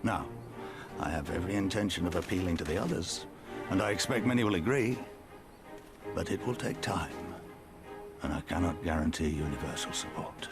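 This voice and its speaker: Low-voiced older male resistance commander. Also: over music. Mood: formal, solemn. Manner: measured, blunt, formal with folksy turns. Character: gruff older male resistance commander, weary, proud, wry. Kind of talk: video game cutscene acting.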